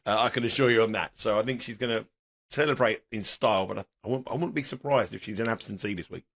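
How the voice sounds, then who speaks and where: A middle-aged man with a sore throat, sounding croaky as he talks tennis bets in a rambling, restarting way. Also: lowish voice, fast, fairly bright tone. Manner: rambling, repeats words and restarts. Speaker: tennis betting analyst. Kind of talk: casual podcast chat.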